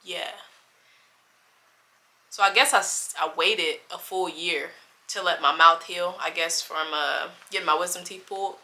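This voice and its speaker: A woman's voice: fairly low pitch, fairly even intonation, a little slow, pushed and bright. A woman in her twenties chatting casually to her camera, rambling and stopping to correct herself. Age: 20s. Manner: rambling, loses track of the timeline and self-corrects. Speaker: vlogger. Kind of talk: casual chat.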